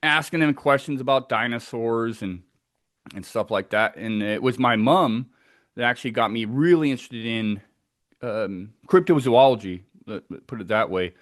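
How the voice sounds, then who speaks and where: A man from Scotland with a Scottish accent, a guest chatting casually over a video call in a relaxed way. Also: mid-range voice, normal speed, no echo, studio quality. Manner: relaxed, chatty, with fillers and laughs. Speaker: guest researcher.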